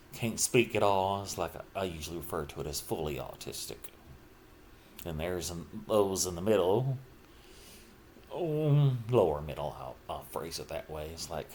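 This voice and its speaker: A middle-aged man talking casually and reflectively about himself, in halting, broken phrases with trailing pauses. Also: sits low, slight background noise. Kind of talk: casual personal monologue, rambling reflection.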